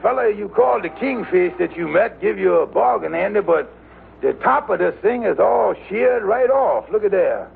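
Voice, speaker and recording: A man playing an earnest, good-natured, worrying young fellow in an old radio comedy, hesitant and folksy, with a creaky voice. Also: sits high, lively intonation, moderate pace, exaggerated voice. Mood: doubtful. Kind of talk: old-time radio sitcom acting. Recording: narrow-band vintage radio recording, faint hiss.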